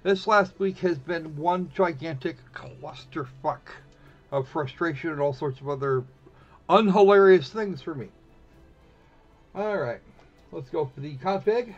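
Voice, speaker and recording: A man hosting a casual game live stream, talking in a rambling, halting way and repeating himself as he goes. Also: a little high. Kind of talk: casual live-stream commentary while setting up a visual novel. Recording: dull lo-fi mic with music behind. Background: background music.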